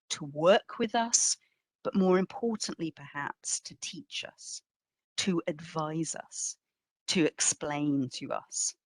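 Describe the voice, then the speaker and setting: A woman delivers a history lecture at a very unhurried pace, in a slightly low voice. She stresses key phrases and puts questions to her listeners as she goes. The sound is dry and close, with little room around it. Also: no room sound. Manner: measured, emphatic phrasing with rhetorical questions. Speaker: exhibition curator giving a history talk. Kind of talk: public lecture, presenting to an audience with slides.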